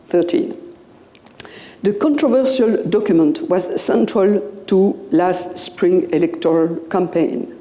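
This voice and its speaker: A woman in her sixties with a Belgian French accent delivers a lecture at a podium mic. Her delivery is slow and mellow, full of small hesitations. The hall gives her voice a long, roomy reverb. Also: fairly low pitch. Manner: slow, measured, with frequent "uh" pauses. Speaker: guest lecturer. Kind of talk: public lecture. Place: lecture room. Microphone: podium microphone.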